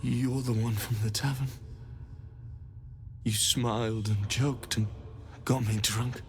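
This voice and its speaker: An actor playing a bitter, betrayed vampire spawn prisoner in a video game, halting and accusing. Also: male voice, medium pitch, steady tone. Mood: wounded, accusing. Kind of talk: dramatic video game acting.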